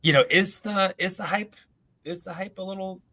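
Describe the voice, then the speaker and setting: A man's voice: high-pitched, moderate pace, sharp tone. Man co-hosting a casual sports talk. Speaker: co-host of a sports show. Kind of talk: casual sports discussion over video call. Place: remote video call.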